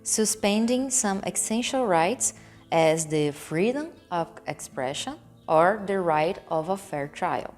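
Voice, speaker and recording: A woman from Brazil, a non-native English speaker with a Brazilian Portuguese accent, hosting a relaxed English lesson and explaining words slowly and carefully. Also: lowish voice, faint music behind. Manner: slow and careful, stresses and repeats key words. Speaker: English teacher and channel host.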